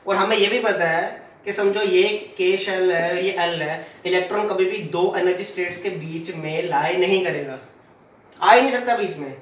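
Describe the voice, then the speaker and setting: Hoarse man teaching physics, high voice. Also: moderate pace, fairly bright tone, roomy sound, distant mic, slight background noise. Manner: asks questions and answers them himself; uses everyday stories as examples. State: sore throat. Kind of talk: classroom lecture.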